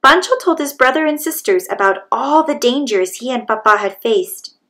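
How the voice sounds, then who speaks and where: A woman's voice: high voice, lively intonation, moderate pace, pushed and bright. A woman reading a children's story aloud as a storybook narrator. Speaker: storybook reader.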